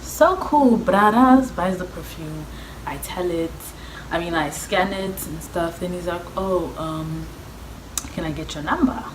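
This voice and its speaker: A woman's voice: fairly low pitch, unhurried. A young woman with a South African accent telling a personal story in a chatty, slangy way, acting out the dialogue as she goes. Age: young adult. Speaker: vlogger. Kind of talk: casual personal storytelling to viewers. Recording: echoey room with clear background noise.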